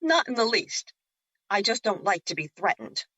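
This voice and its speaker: Woman acting bright, teasing spirit Luna. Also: medium pitch, very animated, moderate pace, husky, dry recording, heavily acted. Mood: wry, unafraid. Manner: wry, needling. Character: Luna, playful, teasing, unafraid female spirit. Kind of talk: audio drama acting.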